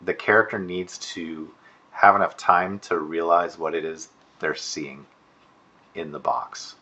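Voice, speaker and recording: A man's voice: deep voice, fairly even intonation, measured pace, pushed and bright. A man giving informal spoken feedback as an instructor, thinking aloud with hedges and restarts, recorded indoors at a computer. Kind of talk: informal spoken critique of student work.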